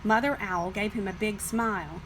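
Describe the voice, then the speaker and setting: A woman reading a children's picture book as scripted narration, with expressive, deliberate phrasing. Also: mid-range voice, noisy background. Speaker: storybook reader.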